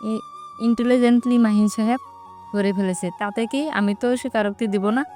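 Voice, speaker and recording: A woman's voice: a little high, steady tone, brisk, mellow, natural voice. A woman playing a bold, teasing young woman in love in a romantic audio drama. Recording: music bed under the voice.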